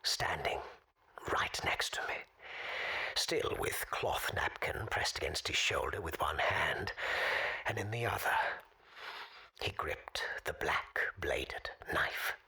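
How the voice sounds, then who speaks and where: A woman playing an elderly, weary, wily former spy in an audio drama, with a hoarse, half-whispered rasp and formal, deliberate storytelling. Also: extremely low pitch, animated, sharp tone, heavily acted. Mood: grave, tense. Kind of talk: dramatic monologue in audio fiction.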